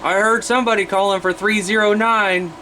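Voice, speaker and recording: A man's voice: sits high, steady tone, fairly quick. An American man talking casually as a CB radio operator, making contacts over the air. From Iowa, United States. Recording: very noisy radio static and hum.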